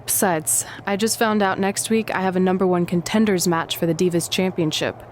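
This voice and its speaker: A woman's voice: fairly low pitch, steady tone, fairly quick, slight background noise, lightly acted. A woman playing a supportive, down-to-earth young female wrestler in a video game cutscene, speaking casually and sincerely. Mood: concerned, supportive.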